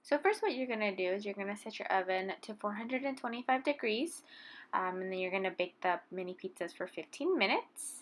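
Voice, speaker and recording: A young woman hosts a cooking demonstration in a medium-pitched voice. She talks through each step in an explanatory, instructional way. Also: animated, brisk, slight background noise. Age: young adult. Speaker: cooking demo host, health educator.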